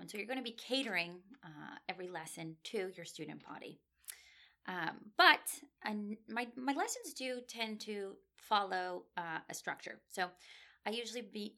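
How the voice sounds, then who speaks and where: Young woman guest, low gravelly voice, thoughtful and a bit halting. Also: sharp tone. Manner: thoughtful, many fillers and restarts. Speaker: graduate student guest. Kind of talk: conversational interview answers.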